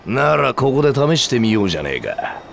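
A man voicing an arrogant, taunting two-headed reptilian warrior in a Japanese video game, with a gravelly, coarse delivery. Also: medium pitch, sing-song, brisk, a bit bright, faint music behind. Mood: menacing challenge. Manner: taunting, boastful, coarse speech.

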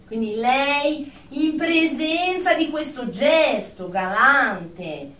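A woman's voice: high-pitched, animated, very slow, fairly bright tone, exaggerated voice. An actress playing a stern, formal female therapist in a radio drama, speaking in an officious, clinical manner. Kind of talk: Italian radio drama acting. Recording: echoey room, distant mic.

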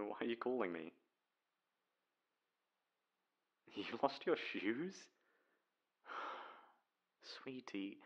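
A man's voice: fairly low pitch, dull audio. Man acting as a caring, teasing young boyfriend. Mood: playful, reluctant. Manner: coaxing, breathy sighs, pet names. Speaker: voice actor playing a boyfriend. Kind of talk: ASMR boyfriend roleplay.

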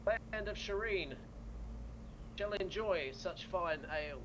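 A man's voice: high voice, slow, noisy background. A man role-playing a pompous trading company owner, speaking theatrically.

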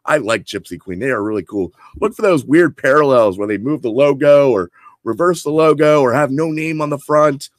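A hoarse man in his forties, fighting off a cold, hypes up his picks to subscribers with a salesman's eagerness. His voice sits at a middling pitch and comes through dry and close, recorded on a desk webcam in a home office. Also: no room sound. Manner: enthusiastic salesman, showing cards to camera. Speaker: card subscription box host. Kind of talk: product preview for subscribers. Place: home office room with shelves.

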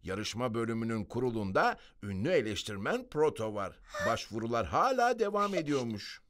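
A man's voice: mid-range voice, very animated, normal speed, fairly bright tone. A man voicing a cartoon character reading news aloud from a newspaper. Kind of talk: dubbed cartoon acting.

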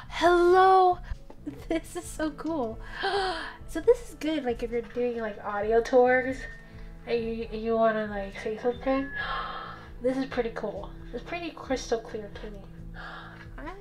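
A young woman with a soft voice, chatting casually through a product review in a small home studio, gasping and laughing often. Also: sits high, expressive, slow pace, soft background music, rough recording. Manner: bubbly, chatty, frequent gasps and laughs. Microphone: studio mic on boom arm.

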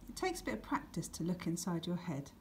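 A woman reads a picture book to children in a lowish, mellow voice. Her delivery is expressive and deliberate, with plenty of movement in pitch. There is faint noise in the recording. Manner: deliberate, expressive reading with dramatic emphasis and hushed words. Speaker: teacher reading a picture book aloud. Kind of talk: expressive scripted storybook narration for children.

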